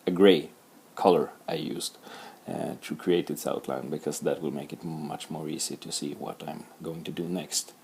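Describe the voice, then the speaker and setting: A man's voice: very deep voice, fairly even intonation, normal speed, vocal fry, darkish tone, a little hiss or hum. A man with a Swedish accent narrating a drawing tutorial, talking through each step plainly with some fillers and self-deprecating remarks. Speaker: art tutor.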